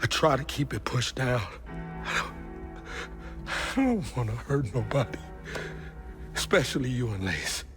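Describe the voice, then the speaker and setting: A guilt-ridden, gentle Southern man in a video game drama. He speaks haltingly at a medium pitch, as if confessing, with music clearly underneath. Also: sing-song. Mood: pained, ashamed. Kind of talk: naturalistic game drama acting. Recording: music behind the dialogue.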